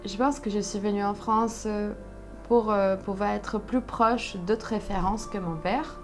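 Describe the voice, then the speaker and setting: A young woman from Brazil, a native Portuguese speaker with a Brazilian accent, telling her story conversationally and haltingly as she searches for words. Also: medium pitch, steady tone, music in the background. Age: young adult. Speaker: interview guest, solo sailor.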